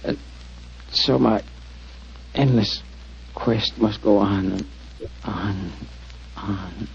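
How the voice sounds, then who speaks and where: A man's voice: lowish voice, extremely slow, creaky, husky, heavily acted. An actor playing a weary man obsessed with an endless quest, solemn and melodramatic in 1940s radio style. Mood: despairing, fading. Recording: muffled 1940s radio recording.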